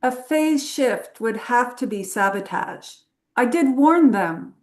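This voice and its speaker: A woman playing a flirtatious, knowing adventurer professor in a script table read, with a teasing, unhurried delivery. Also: on the high side, expressive. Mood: brisk.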